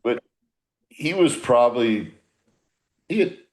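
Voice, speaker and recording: A man's voice: mid-range voice, lively intonation, takes it slowly. A man in his sixties, a former baseball manager, reminiscing casually in a rambling, repetitive, blunt and salty way. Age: 60s. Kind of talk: casual reminiscing in a group podcast.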